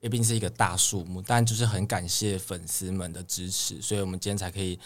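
Young man, low voice, Taiwanese Mandarin accent, earnest, casual interview, step-by-step explaining. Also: steady tone, fast talker. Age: young adult. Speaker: idol singer guest.

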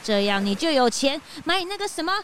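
A woman voicing an eager, cheeky young detective's assistant in a children's audio drama, inquisitive and excitable. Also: high-pitched, fairly quick, slight background noise. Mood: excited, accusing.

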